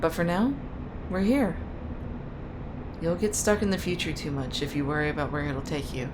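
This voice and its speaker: An actress playing a headstrong sniper trooper in a sci-fi military audio drama, blunt and self-assured. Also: female voice, low-pitched, fairly even intonation, moderate pace, noisy background, close to an everyday voice. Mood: frank, caring.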